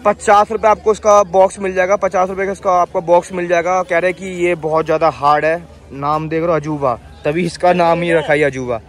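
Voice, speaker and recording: A man's voice: high-pitched, steady tone, brisk, hardly any pauses. A young man filming a casual vlog on his phone as he walks a busy outdoor street market, chatting with sellers and repeating each price to the camera. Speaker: vlogger. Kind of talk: handheld market walkthrough vlog. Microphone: phone held at arm's length.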